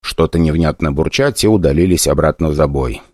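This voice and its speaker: A man narrating a fantasy audiobook in an expressive, ironic storytelling manner. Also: extremely low pitch, brisk, no room sound, studio quality.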